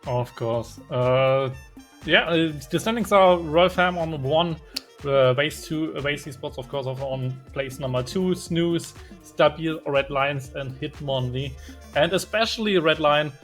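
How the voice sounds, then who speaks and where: A man's voice: on the high side, unhurried, fairly bright tone, soft background music. A man co-casting an esports show, giving casual analysis with frequent hesitations. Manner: conversational, filler-heavy with frequent uhs. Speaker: esports co-caster.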